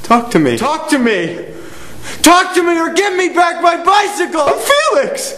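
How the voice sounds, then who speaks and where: A nervous young actor, played by a young man, who talks high and slow, with pitch that leaps around and stammers. His voice rings in a roomy stage space over a faint hiss. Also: very animated, pushed and bright, full character voice. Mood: frantic. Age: young adult. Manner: stammering, anxious, prone to outbursts. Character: young nervous male actor, anxious and excitable. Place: small dark theater stage.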